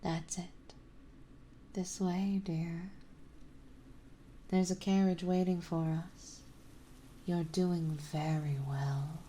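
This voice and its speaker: A woman playing a genteel, possessive vampire mistress in an ASMR roleplay, speaking softly, politely and soothingly. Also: lowish voice, level tone, a little hiss or hum. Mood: soothing, tender. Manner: soothing, softly spoken, polite, unhurried, doting. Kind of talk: ASMR roleplay acting, partly whispered.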